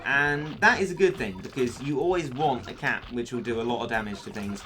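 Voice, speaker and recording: A man giving upbeat, joking commentary over a mobile game, his voice going a little hoarse. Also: medium pitch, fairly quick, sharp tone, a little hiss or hum. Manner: chatty, upbeat, self-deprecating jokes. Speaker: gaming YouTuber. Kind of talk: let's play commentary.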